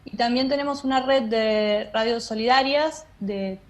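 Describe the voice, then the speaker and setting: A woman's voice: fairly high pitch, unhurried. A woman with an Argentine accent explaining things as a guest in an interview. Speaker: interview guest, theatre director and drama teacher.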